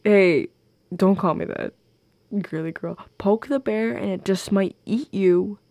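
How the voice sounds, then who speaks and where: Woman doing a teasy goth gf for audio rp, soft n murmury w lots of vocal fry, close dry sound, playful. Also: medium pitch, measured pace, no room sound, close to an everyday voice. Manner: teasing, coy, giggly. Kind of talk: intimate audio roleplay addressed to the listener.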